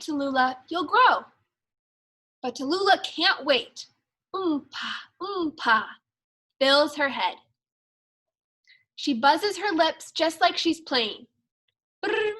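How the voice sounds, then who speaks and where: Woman, storybook read-aloud for children, high bright voice, expressive, measured phrasing. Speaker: second grade teacher.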